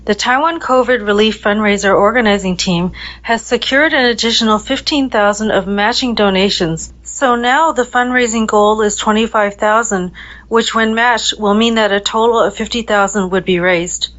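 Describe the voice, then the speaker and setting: Woman host, middle-pitched, reads intro then cues guests, lo-fi sound. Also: moderate pace. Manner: scripted intro, then soft prompting questions. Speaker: podcast host. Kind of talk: interview hosting.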